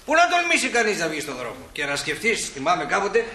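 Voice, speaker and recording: Bright, high-voiced fussy shopkeeper, echoey. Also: male voice, huge pitch swings, a little hiss or hum, full character voice. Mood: chatty, grumbling. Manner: bustling, repeats himself, grumbling good-naturedly. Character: fussy, kindly, chatty older small-town shopkeeper. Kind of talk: stage drama acting for broadcast.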